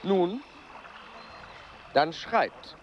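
A driven, exacting male aristocrat and explorer in a radio drama. He is curt and pedantic, with a very lively pitch range, on a slightly dull recording with faint noise. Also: extremely slow, full character voice. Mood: curt, correcting. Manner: pedantic, precise. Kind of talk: radio play acting.

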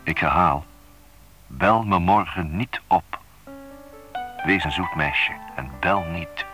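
An actor playing a possessive, teasing lover who speaks in measured, intimate phrases in a radio drama. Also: male voice, sits low, music in the background. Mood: teasing, controlling. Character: possessive, teasing adult male lover on an answering machine.

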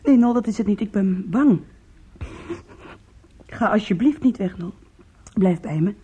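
An actress in a Dutch radio play playing a weary, anguished young woman with a shamed past, confessional, halting and pleading. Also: mid-range voice, lively intonation, warm tone, slightly rough. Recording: muffled, lo-fi old radio recording.

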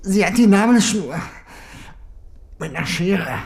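A man's voice: high-pitched, animated, unhurried, creaky, full character voice. An actor playing a defensive ex-convict and bereaved father under questioning, speaking in terse, evasive fragments in a naturalistic radio drama. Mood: shaken.

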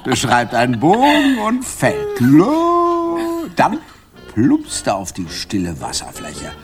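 An actor playing a tipsy, courtly, poetic composer in a German radio drama, playful and florid. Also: male voice, on the high side, huge pitch swings, takes it slowly, music in the background, exaggerated voice. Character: flirtatious, tipsy, poetic male composer in 1917 Paris.

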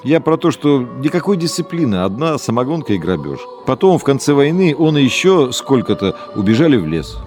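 A former collaborator gives grumbling testimony, as a man plays him in a radio drama. His pitch swings in a lively way. Music runs underneath. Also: brisk, hardly any pauses, close to an everyday voice. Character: male collaborator giving testimony.

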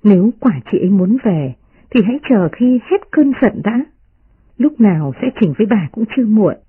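Woman doing a sweet polite servant girl, soft and coaxing, husky, tinny radio sound. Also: mid-range voice, big pitch swings, normal speed, no room sound, pitched above the performer's own voice. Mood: pleading. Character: young woman servant, gentle and pleading. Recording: muffled radio broadcast.

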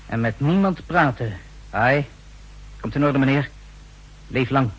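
An actor playing a polite, earnest young student in a 1960s radio drama, courteous and quick to comply. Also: male voice, medium pitch, animated, breathy edge, poor audio. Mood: obliging, earnest. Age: young adult. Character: eager, polite young male student running an errand. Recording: fuzzy old radio recording.

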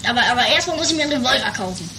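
A woman's voice: on the high side, expressive, racing, background noise, natural voice. A woman playing a cheeky, naive male character in an improvised comic audio drama, offhand and a little hesitant. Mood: matter-of-fact.

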